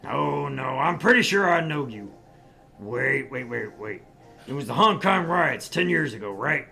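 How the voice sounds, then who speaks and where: Man putting on a brash, creaky-voiced older businessman. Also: mid-range voice, animated, unhurried, music in the background. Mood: pushy, accusing. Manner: blustery, sardonic, condescending. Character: brash, gruff older businessman at a bar, needling and boastful. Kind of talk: visual novel read-aloud.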